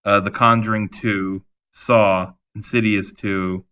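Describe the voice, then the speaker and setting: A man's voice: lowish voice, level tone, takes it slowly, pushed and bright, smooth. A man co-hosting a podcast in casual chat, laid-back and teasing, who laughs easily. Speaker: podcast co-host.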